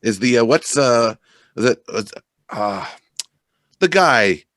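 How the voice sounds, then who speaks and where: A man in casual radio-show chat, talking in an expressive, loosely conversational way. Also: medium pitch, slow pace, no room sound, clean studio sound.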